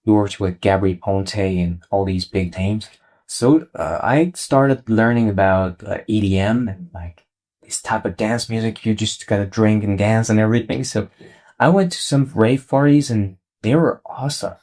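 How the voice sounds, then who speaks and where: Musician guest, young male, Iranian accent, fairly low pitch, relaxed conversational interview delivery. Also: normal speed. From Iran. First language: Persian. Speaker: interview guest, singer-songwriter. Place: college radio studio.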